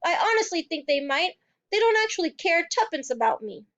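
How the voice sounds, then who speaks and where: A bright, anxious little girl with a high, breathless voice cries out in urgent pleas, as a woman puts her on. The storybook voice sits well above a grown-up's, and the recording sounds slightly muffled. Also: lively intonation, measured pace. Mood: eager, urgent. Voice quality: high and breathless when upset. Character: bright distressed little girl, about five.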